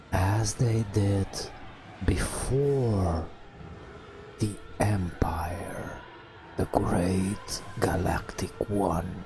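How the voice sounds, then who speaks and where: A man telling a bedtime story in a hushed ASMR style, in drawn-out phrases with long pauses. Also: low voice. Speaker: narrator. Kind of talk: whispered ASMR bedtime storytelling over game footage.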